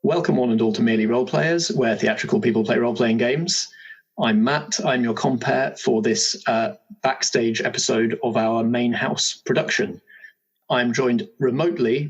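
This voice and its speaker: Husky man hosting a podcast. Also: mid-range voice, moderate pace. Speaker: host. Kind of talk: podcast hosting, casual chat. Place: remote home recording space. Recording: dull remote home recording.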